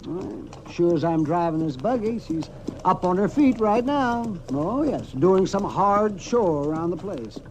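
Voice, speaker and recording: An actor playing a crusty, grumbling frontier town doctor in a folksy, fussy 1950s radio western style. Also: male voice, high-pitched, expressive, unhurried, a little mellow. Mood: grumbling, exasperated. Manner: folksy, fussy, exasperated muttering and chuckling. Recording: noisy old radio drama recording.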